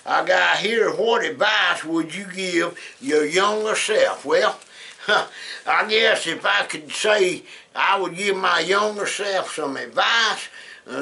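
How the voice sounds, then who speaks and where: A man's voice: high-pitched, creaky, sharp tone. A man in his seventies from South Georgia with a Southern accent, rambling through folksy life stories and advice as the host of his own channel. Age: 70s. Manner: folksy, rambling, drawn-out with many pauses. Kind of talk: casual storytelling monologue.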